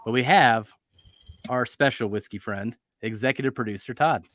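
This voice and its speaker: A man's voice: medium pitch, moderate pace, fairly bright tone, no echo, clean studio sound. A man hosting a casual whiskey show, upbeat and joking with his guests. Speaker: show host.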